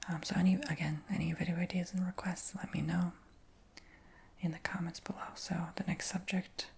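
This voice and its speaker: A man whispering through a rambling ASMR storytime chat, his voice high-pitched for a man, with frequent ums and likes. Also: little pitch movement, a little fast, gravelly, slightly rough. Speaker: ASMR creator.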